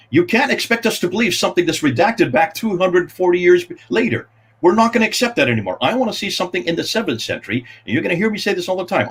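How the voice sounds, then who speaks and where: A man's voice: on the high side, husky. A man speaking on a live stream, making impassioned, emphatic arguments with rising appeals. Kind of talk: heated debate talk.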